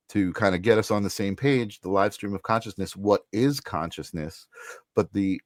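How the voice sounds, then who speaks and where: A man hosting a podcast interview, asking questions in a thoughtful, halting, agreeable way. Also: sits low, no room sound, studio quality. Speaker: podcast host.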